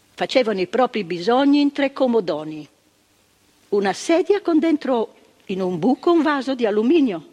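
A woman's voice: mid-range voice, darkish tone, slightly rough. An actress playing a former woman asylum nurse, telling her memories in a plainspoken stage monologue that repeats phrases for emphasis. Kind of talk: theatrical monologue of remembered testimony. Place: theatre stage. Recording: long stage reverb, radio broadcast.

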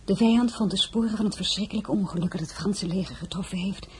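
An actress playing an earnest, anxious younger Frenchwoman, the plain-spoken heroine of an audio drama, in a measured manner. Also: medium pitch, a little hiss or hum, lightly acted. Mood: grave, urgent. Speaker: actress and narrator. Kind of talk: acted audio drama with first-person narration.

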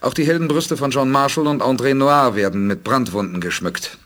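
An actor playing a resolute, calm spaceship commander who gives precise orders in a 1980s radio play. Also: male voice, medium pitch, a little fast, close to an everyday voice. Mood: calm authority.

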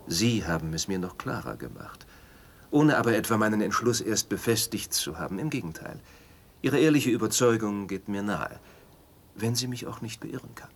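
Deep, husky, reserved gentleman traveller. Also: animated. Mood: firm, measured. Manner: measured, formal, firm. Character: principled, reserved male traveller opposing a cruel procedure. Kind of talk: German radio play acting.

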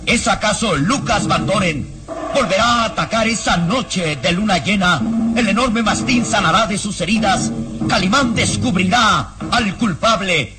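A man's voice: high-pitched, a little slow, over loud music. Emphatic male radio serial narrator. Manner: emphatic, suspenseful.

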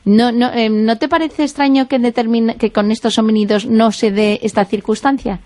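A woman's voice: a little high, steady tone, moderate pace, darkish tone. A woman with a Spanish accent, co-hosting a radio show in a chatty, hedging way. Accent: Spanish (Spain). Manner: chatty, hedging, self-correcting. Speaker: radio co-host. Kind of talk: radio chat.

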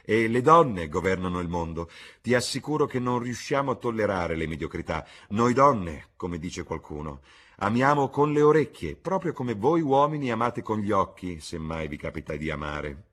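A man's voice: lowish voice, sing-song, normal speed, a bit bright. A man voicing a flirtatious, witty aristocratic duchess in arch, teasing society talk for an audiobook. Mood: coquettish, teasing.

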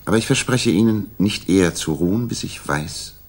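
An actor playing a calm, probing gentleman detective with measured, formal courtesy and a husky voice in a 1960s German radio crime drama. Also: deep voice, background noise. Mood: probing, earnest.

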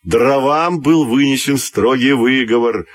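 A man narrating a scripted fairy tale for children's radio in an expressive, theatrical storyteller's manner. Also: mid-range voice, unhurried.

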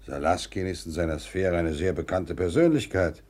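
An actor in a 1950s German radio drama playing a worldly, self-assured older gentleman, fatherly and emphatic in his declamatory delivery. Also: sits low, normal speed. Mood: impressed, congratulating.

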